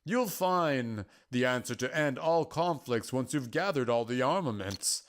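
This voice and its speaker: A man performs a solemn keeper of prophecy for a fantasy story. He is patient and cryptic, choosing formal, old-fashioned words and setting them out in a measured way. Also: mid-range voice, lively intonation, close to an everyday voice. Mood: cryptic, assured. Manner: measured, formal, archaic phrasing.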